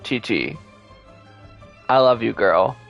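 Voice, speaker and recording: A man's voice: medium pitch, animated, slow pace, creaky, a bit bright. Casual male game streamer, faint music behind. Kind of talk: casual playthrough commentary.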